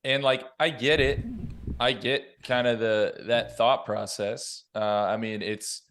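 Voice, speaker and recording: A man's voice: medium pitch, steady tone, slow, fairly bright tone, slight background noise. A man talking through a story in an informal, reflective way on a casual podcast roundtable. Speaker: podcast panelist.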